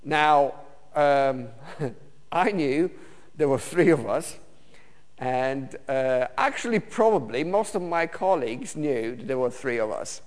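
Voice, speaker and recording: A man's voice: mid-range voice, expressive. Man preaching in a reverberant hall. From Romania. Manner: halting, many fillers and restarts. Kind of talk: personal testimony sermon.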